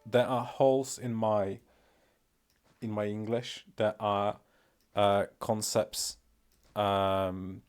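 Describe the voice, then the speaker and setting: A man's voice: lowish voice, level tone, slow, long pauses, a little mellow, clear, resonant tone. A man with a Polish accent, a native Polish speaker, streaming casually and rambling with many fillers and self-deprecating remarks. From Poland. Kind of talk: casual live-stream commentary.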